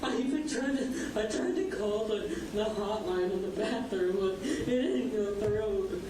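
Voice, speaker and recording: A distressed teenage boy speaks in a fairly high voice, stumbling and rambling as he answers an interviewer, with nervous laughter and swearing. He sounds far from the microphone in a very reverberant space, with clear noise over the recording. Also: a little slow. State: distressed, emotional. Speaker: suspect being interviewed. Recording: very echoey, distant, noisy interview audio.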